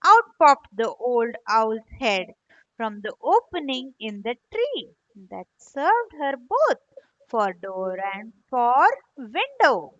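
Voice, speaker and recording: A woman storyteller reading a moral tale aloud for children, expressive and teacherly, addressing young listeners directly. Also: fairly high pitch, slow, no echo, poor audio.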